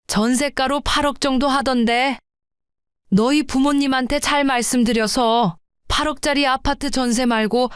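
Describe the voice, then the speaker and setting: Woman doing a pushy mother-in-law, talks down, high smooth voice. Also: dry recording, studio quality, close to an everyday voice. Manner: presumptuous, talks down in plain speech. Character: scheming middle-aged mother-in-law, pushy. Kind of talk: audio drama acting.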